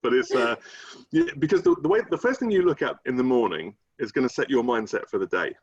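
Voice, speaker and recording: A man's voice: mid-range voice, lively intonation, fast talker, husky. A middle-aged British man answering as a coach being interviewed on a video call, thinking aloud with restarted phrases. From United Kingdom. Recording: dull, muffled video-call audio.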